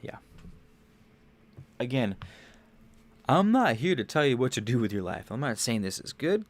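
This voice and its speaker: A young man hosting a livestream, rambling casually about spiritual ideas, restarting phrases, swearing loosely and getting animated on big points. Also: mid-range voice, normal speed. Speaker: podcast host.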